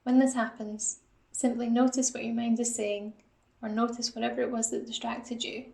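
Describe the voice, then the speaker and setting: A woman guiding a mindfulness body scan in a calm, gentle, instructional manner, like a psychologist leading an exercise. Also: fairly high pitch, moderate pace, gravelly, roomy sound. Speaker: assistant psychologist guiding a meditation.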